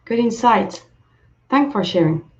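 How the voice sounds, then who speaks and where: A woman's voice: on the high side, lively intonation, a little slow. French-accented woman coaching over lo-fi webinar. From France. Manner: gently encouraging, thanks participants for sharing. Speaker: self-leadership coach. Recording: lo-fi webinar audio.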